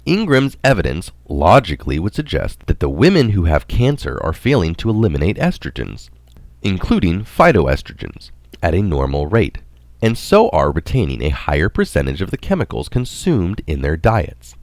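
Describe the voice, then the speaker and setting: A man narrating a scientific article aloud in a deliberate, explanatory manner. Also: deep voice, huge pitch swings, a little mellow, no room sound. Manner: deliberate, marks quotations aloud.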